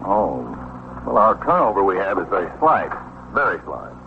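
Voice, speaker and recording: An actor playing a fussy, anxious, easily aggrieved gallery owner in an old police radio drama. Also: male voice, deep voice, sing-song, moderate pace. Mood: defensive. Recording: muffled, noisy old radio recording.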